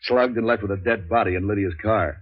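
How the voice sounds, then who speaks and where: Low-voiced, cynical hardboiled radio gumshoe. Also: male voice, brisk, husky. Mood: weary, irritable. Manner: clipped, sardonic, deadpan. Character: cynical, world-weary hardboiled male private troubleshooter, wisecracking. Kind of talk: 1940s radio noir acting and narration. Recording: dull 1940s radio recording.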